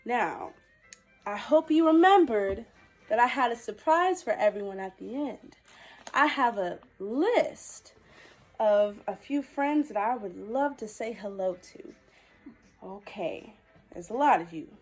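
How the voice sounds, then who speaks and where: A woman hosting a children's storytime, reading and talking to young viewers in an expressive, sweet manner. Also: on the high side, slow, soft background music. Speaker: children's storytime host dressed as a princess character. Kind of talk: animated children's picture-book reading and direct address to young viewers.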